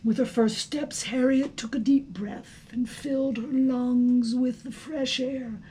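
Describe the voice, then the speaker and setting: A woman reading a storybook aloud with deliberate, dramatic expression, like a teacher reading to students. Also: high-pitched, fairly even intonation, mellow, a little hiss or hum, poor audio.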